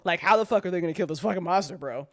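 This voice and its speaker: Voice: mid-range voice, racing. An audio drama writer chatting casually on a live show, rambling and swearing freely, with slangy, dramatic retellings. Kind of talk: animated casual live chat.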